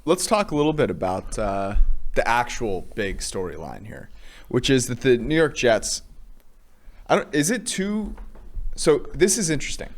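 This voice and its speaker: A man's voice: medium pitch, sing-song, moderate pace. A man co-hosting a casual football podcast. He moves the talk along with dry, teasing asides and direct questions to his partner. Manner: wry, teasing, steers the talk. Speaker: co-host. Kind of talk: casual sports chat.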